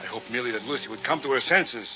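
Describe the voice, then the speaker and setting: A man's voice: medium pitch, rapid-fire, over music, lightly acted. A man acting as an arrogant, coldly rational scientist and domineering husband in a vintage radio drama, with a pompous, lecturing manner. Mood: gloating. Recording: muffled, hissy vintage radio recording.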